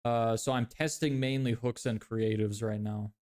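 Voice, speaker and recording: A man's voice: medium pitch, steady tone, moderate pace, a little mellow. A man in his twenties, originally from Lithuania, chatting casually on a livestream, thinking aloud and often correcting himself as he answers viewer questions. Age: 20s. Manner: thinks aloud, self-correcting, frequent fillers. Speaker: livestreamer building a business.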